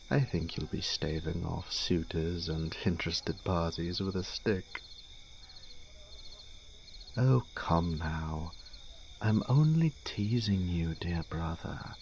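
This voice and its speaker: A man's voice: low-pitched, creaky, a little mellow, a little hiss or hum, heavily acted. A man playing a teasing young nobleman in a fantasy audio drama, speaking in a formal, archly polite manner. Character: teasing, urbane young nobleman, elder brother in fantasy court.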